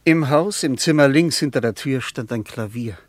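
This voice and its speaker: A man's voice: mid-range voice, normal speed, no room sound, close to an everyday voice. Radio play role for a male actor: nostalgic ex-soldier, mid-range voice, short searching phrases, dry studio. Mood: fond remembering. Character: wistful former soldier remembering a lost love. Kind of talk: radio play acting, halting reminiscence.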